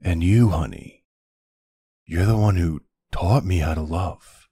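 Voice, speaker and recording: A man playing a tender mafia boss husband and doting father in an intimate ASMR roleplay, speaking softly with hesitant pauses in a gravelly, husky voice. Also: sits low, no room sound, studio quality, full character voice. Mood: tender, loving. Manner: soft, unhurried, hesitant pauses.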